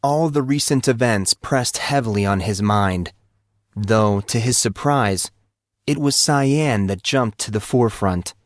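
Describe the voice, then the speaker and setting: A man's voice: lowish voice, a little slow, no room sound, studio quality. A man giving scripted, dramatic narration of a story as an audiobook narrator.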